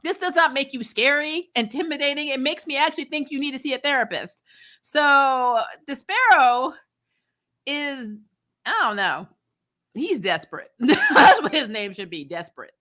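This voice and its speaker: Bright-voiced woman giving opinionated recaps. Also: high-pitched, moderate pace. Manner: animated, sarcastic mock-quoting, mild swearing. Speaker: host of a TV review channel. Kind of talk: casual, opinionated episode recap.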